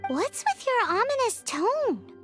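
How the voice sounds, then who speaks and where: A woman voicing a tiny, chirpy fairy companion in a video game, childlike, cheeky and excitable. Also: takes it slowly, faint music behind, exaggerated voice. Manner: squeaky, childlike, blunt, talks in third person.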